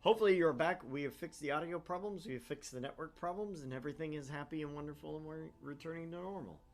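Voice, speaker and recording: A man's voice: fairly high pitch, quick pace. A man hosting a stream, playful and chatty, moving between casual talk and narrating a visual novel. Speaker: streamer, co-host.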